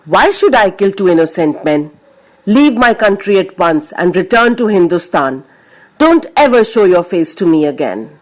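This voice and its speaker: A woman's voice: on the low side, very animated, normal speed, poor audio. A woman playing a stern, imperious king who questions and commands in a children's story. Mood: indignant.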